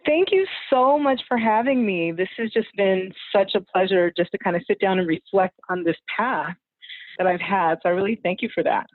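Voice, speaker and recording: A woman's voice: mid-range voice, normal speed, dry recording. A woman in her forties, a family physician, telling her story as a podcast guest, rambling with fillers and laughing often. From United States (California). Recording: tinny phone-like line, dry.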